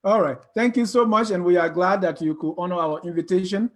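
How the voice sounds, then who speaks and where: High-voiced Liberian man interviewing. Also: fairly quick.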